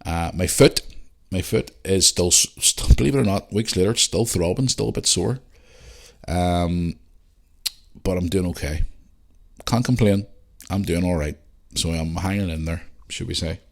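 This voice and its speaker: Man, Northern Irish accent, low-pitched voice, informal podcast host, casual chat, chatty and unpolished. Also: normal speed, gravelly, pauses often. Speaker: podcast host answering listener questions. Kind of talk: casual chat and reading out messages.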